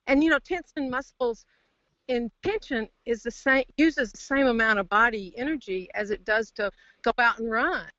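A woman calling in to share casual reflections, halting and searching for words, over a phone line. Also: on the high side, pushed and bright, no room sound. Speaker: caller to a group call. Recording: dull dry phone line.

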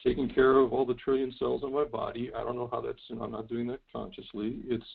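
A middle-aged man calling in to share personal reflections in a thoughtful, halting, lightly amused way. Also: medium pitch, level tone, creaky, husky. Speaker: caller. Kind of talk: reflective personal sharing on a call. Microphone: telephone. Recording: thin phone line.

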